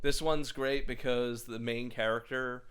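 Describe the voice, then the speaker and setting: A man's voice: mid-range voice, fairly even intonation, unhurried, a bit bright. A man co-hosting a podcast, chatting casually about pop culture in a laid-back, blunt way. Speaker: podcast co-host.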